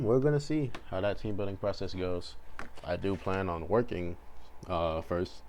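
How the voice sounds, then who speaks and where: A man's voice: low-pitched, dark tone. A young man chatting casually and thinking aloud as he hosts a video, laid-back and unscripted in a hesitant, rambling way. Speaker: video creator and host. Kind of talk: casual rambling chat while drawing. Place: bedroom at home, indoors. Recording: home recording with faint background noise.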